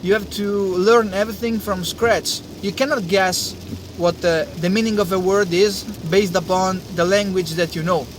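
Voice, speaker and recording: High-voiced Italian man, halting speech. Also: steady tone, background noise. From Italy. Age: young adult. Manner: hesitant, many uh fillers and restarts. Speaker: science channel host. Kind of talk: casual talk to camera.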